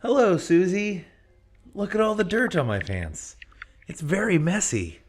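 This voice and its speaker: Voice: mid-range voice, wildly swooping pitch, unhurried, husky, full character voice. An actor as a dazed, childlike professor. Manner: childlike, simple.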